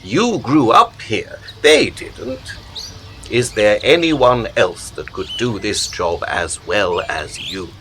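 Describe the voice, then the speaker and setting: Over noticeable background noise, an actor plays a persuasive male patron for a radio drama. His low voice rises and falls with great expression as he coaxes and philosophises in measured, rhetorical phrases. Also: sing-song, pushed and bright, heavily acted. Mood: urging.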